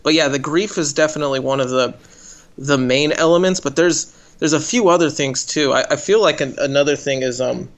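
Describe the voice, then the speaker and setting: A man with a fairly high voice chats casually as a podcast co-host. He feels his way hesitantly through his points. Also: brisk. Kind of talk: casual film discussion.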